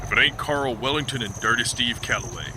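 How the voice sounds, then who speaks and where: A man playing a gruff hillbilly farmer and father in a comedic western audio drama, blunt and folksy, with a Southern US accent. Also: mid-range voice, brisk, noisy background, close to an everyday voice. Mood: defiant.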